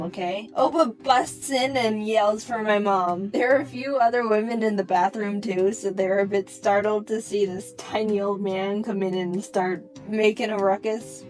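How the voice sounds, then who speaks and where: A young woman telling a personal story for a cartoon, in a casual, animated way with wry comic asides. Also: medium pitch, normal speed, hardly any pauses, faint music behind.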